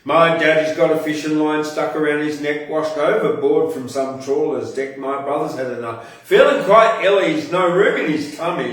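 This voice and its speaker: A man's voice: fairly high pitch, fairly bright tone. Echoey, distant Australian man reciting poetry. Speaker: poetry show host and poet. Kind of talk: performance poetry recital with casual hosting. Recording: very echoey room, distant from mic.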